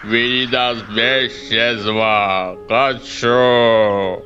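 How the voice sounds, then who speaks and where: A man voicing a boastful, menacing dragon in a dubbed cartoon, with a slow, drawn-out delivery. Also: medium pitch, steady tone, sharp tone. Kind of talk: cartoon dub acting. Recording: dull sound with background music.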